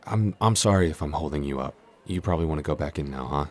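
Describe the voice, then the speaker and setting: Man playing a very deep-voiced, shy giant. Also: quick pace. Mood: flustered, hesitant. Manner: hesitant, stammering, apologetic. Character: shy, apologetic, clumsy young male giant. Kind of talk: intimate roleplay audio acting.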